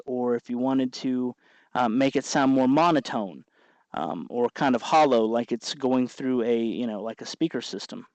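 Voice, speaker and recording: A man hosting an informal electronics tutorial, explaining things off the cuff in a halting way as he thinks aloud. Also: medium pitch, darkish tone, no room sound, muffled recording. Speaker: host of an electronics tutorial show. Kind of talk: unscripted hands-on tutorial narration.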